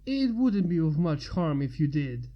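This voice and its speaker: A woman voicing a practical male hospital doctor in a story, calm, matter-of-fact and reassuring. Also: deep voice, measured pace, lo-fi sound.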